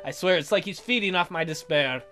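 A man's voice: on the high side, fairly quick, pushed and bright. A man hosting a let's play, reading visual novel narration in an informal way and interrupting himself with casual commentary. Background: music with guitar.

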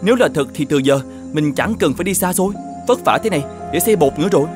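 An actor playing a good-natured, simple peasant farmer in an animated fairy tale, earnest and polite. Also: male voice, a little high, quick pace, close to an everyday voice. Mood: amazed. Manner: earnest, polite, slightly naive. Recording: music behind the voice.

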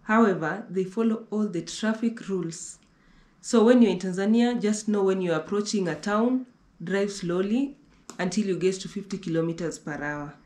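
A woman's voice: medium pitch, normal speed, a little mellow. Young woman, from Kenya, travel vlogger, casual chat, restarts a lot, roomy echo. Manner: chatty, repeats herself, restarts often. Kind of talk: casual conversational travel talk. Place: indoor living room on a sofa.

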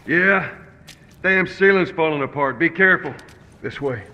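An actor playing a watchful survivor, speaking in clipped, cautious phrases in a video game. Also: male voice, fairly low pitch, racing, slightly rough, muffled recording. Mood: tense, cautious. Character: tense, watchful male survivor in ruins. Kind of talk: naturalistic dramatic game acting. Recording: long echo, faint noise.

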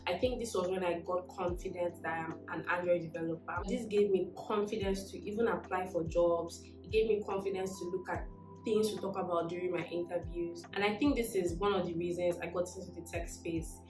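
Young woman from Nigeria, fairly low voice, upbeat and encouraging to-camera vlog delivery, roomy living-room sound. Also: fairly even intonation, brisk, over music. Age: young adult. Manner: upbeat, encouraging, gestures while talking. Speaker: tech vlogger. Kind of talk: casual storytelling to camera.

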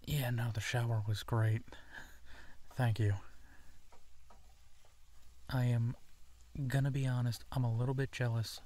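A man playing a gentle, awkward, self-deprecating werewolf friend in an intimate ASMR audio drama, speaking softly and haltingly. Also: medium pitch, flat delivery, natural voice. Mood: grateful, relaxed. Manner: hesitant, soft-spoken, halting, often slipping into whispers.

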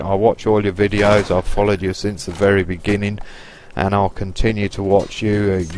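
A man in his late forties with a Lancashire accent and a fairly low voice talks in a rambling, cheeky way, as if to regular viewers. Faint game gunfire rattles behind him. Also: darkish tone, a little hiss or hum. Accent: Lancashire English. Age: 40s. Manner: chatty, rambling and cheeky, with mild swearing. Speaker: gaming YouTuber. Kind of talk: casual gameplay commentary.

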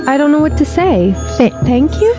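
A woman's voice: high-pitched, big pitch swings, normal speed, over music. A woman acting in an audio drama as a flustered, shy writer, hesitant and stammering. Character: flustered, shy young writer, overwhelmed by praise.

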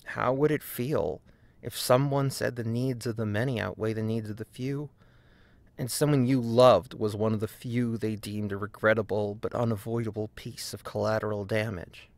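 A man narrating a scripted video essay in a deliberate, argumentative way, posing rhetorical questions. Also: fairly low pitch, steady tone, dry recording.

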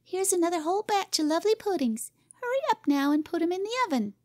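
Woman doing a shrill spoiled little girl. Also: expressive, fast talker, a little mellow, no room sound, studio quality. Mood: bossy.

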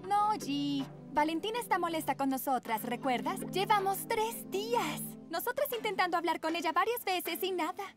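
A very high, girlish voice for an excitable, earnest cartoon teenager. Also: normal speed, hardly any pauses. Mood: worried. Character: earnest, excitable teenage girl, sentimental and apologetic. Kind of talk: animated cartoon dub. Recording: music behind the voice.

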